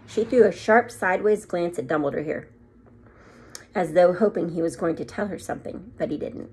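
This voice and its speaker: A woman in her forties reading a story aloud to children in an expressive, teacherly way. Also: on the low side, normal speed, breathy edge. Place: small room at home.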